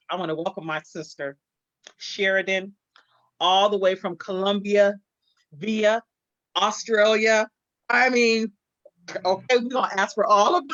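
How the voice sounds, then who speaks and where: A woman hosting an online interview show, warm and encouraging, who calls her guest "sis". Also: fairly low pitch, no echo. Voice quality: speaks from personal experience of hair loss. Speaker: host. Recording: dry, muffled sound.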